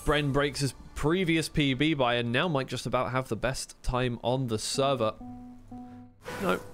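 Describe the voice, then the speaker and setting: A man's voice: medium pitch, a little slow, fairly bright tone, soft background music. A man doing live esports play-by-play commentary in a conversational way, thinking aloud as he goes.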